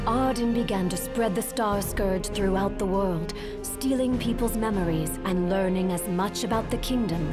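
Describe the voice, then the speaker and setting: A woman narrating a dark history for a video game in a formal, solemn, scripted style. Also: medium pitch, natural voice. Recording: loud music behind the voice.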